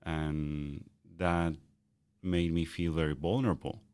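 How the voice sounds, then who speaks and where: Man, very deep booming bass, reflective, halting restarts, dry close sound. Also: steady tone, darkish tone, smooth, no room sound. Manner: thoughtful, halting with repeated starts. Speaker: podcast co-host. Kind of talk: reflective conversational chat.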